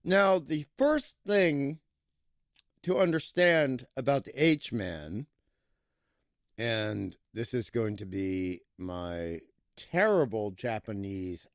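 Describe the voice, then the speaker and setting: A man giving a casual, playful film review, with lively emphasis that he stretches out for effect. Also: fairly low pitch, dry recording, studio quality. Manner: playful, animated, drawn-out emphasis. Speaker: movie reviewer.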